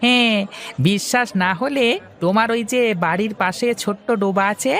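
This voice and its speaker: A man's voice: high-pitched, moderate pace, fairly bright tone, voice pushed up. A man voicing a friendly, persuasive village fish seller in a children's cartoon, with sing-song, coaxing patter. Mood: eager, persuasive. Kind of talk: Bengali children's cartoon dubbing.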